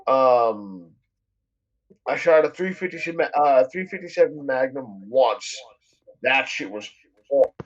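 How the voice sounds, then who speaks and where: A man's voice: medium pitch, expressive, unhurried, pauses often, dull audio. A man streaming, chatting casually in a rambling, heavily profane way. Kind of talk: casual chat on a live stream.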